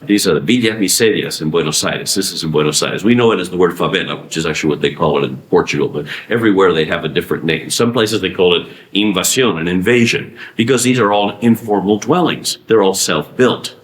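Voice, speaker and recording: A man giving a conference talk, animated, asking rhetorical questions and answering them himself. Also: on the low side. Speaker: conference speaker. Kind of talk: conference presentation. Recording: echoey room.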